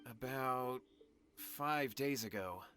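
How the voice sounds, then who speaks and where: A man's voice: mid-range voice, takes it slowly, a bit bright. An actor playing an earnest, anxious young man in a video game, speaking in a pleading manner. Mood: insistent. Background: game music.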